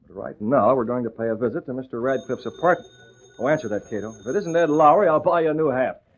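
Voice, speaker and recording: An actor playing a brisk, confident newspaper boss who is secretly a crimefighter, in smooth 1940s radio style. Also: male voice, medium pitch, close to an everyday voice. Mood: brisk, commanding. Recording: muffled vintage radio drama recording.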